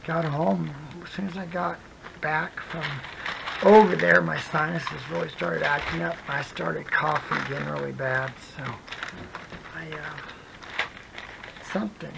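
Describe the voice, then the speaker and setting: An adult craft vlogger chatting casually while working, with a hoarse voice that keeps cutting in and out, rambling softly and drifting into whispers. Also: medium pitch, flat delivery, a little slow, background noise.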